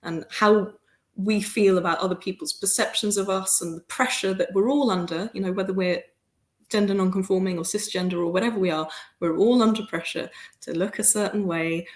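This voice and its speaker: A woman's voice: mid-range voice, a little fast. Articulate London woman, echoey room. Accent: London English. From London, England. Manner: articulate and expressive, laughs often. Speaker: podcast guest, theatre maker. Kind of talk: casual conversation.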